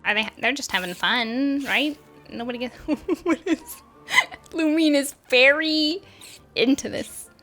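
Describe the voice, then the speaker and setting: A woman's voice: high voice, a little slow, fairly bright tone, soft background music. A woman streamer giving casual commentary over a video game, giggly and chatty, reacting aloud.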